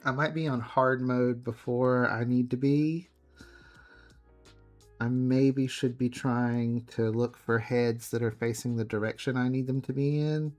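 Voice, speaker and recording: A man streaming himself drawing, chatting casually and softly, often trailing off mid-thought as he works. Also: medium pitch, steady tone, unhurried. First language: English. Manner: soft, musing, trails off mid-thought while working. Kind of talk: casual chat while drawing. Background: faint background music.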